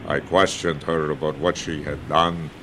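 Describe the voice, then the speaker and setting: A man playing a grumpy homeless former KGB man, blunt and slow-spoken, in an audio drama. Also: rumbling low, level tone, fairly bright tone, slight background noise.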